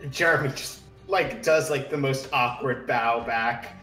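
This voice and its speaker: A man's voice: mid-range voice, unhurried, sharp tone, soft background music. A man playing a tabletop roleplaying game, casually narrating what his character does, recorded on a roomy, distant microphone.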